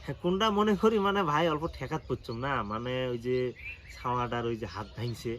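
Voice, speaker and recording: A man's voice: mid-range voice, very animated, a bit bright. A man acting a shameless, pushy moocher friend in a comedy sketch, chummy and insistent, with a northern Bengali regional dialect. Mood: indignant, pushy. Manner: insistent, chummy, fast-talking. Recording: faint outdoor background noise with birdsong.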